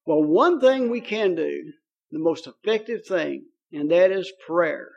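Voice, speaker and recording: Folksy Kentucky pastor, high-pitched man. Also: expressive, a little slow, gravelly, muffled recording. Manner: folksy, joking, with many fillers. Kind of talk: sermon.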